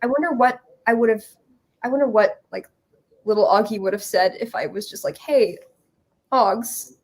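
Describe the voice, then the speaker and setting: A young woman guest in a casual chat, speaking in a halting, earnest and reflective way. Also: fairly high pitch. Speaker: guest, creator.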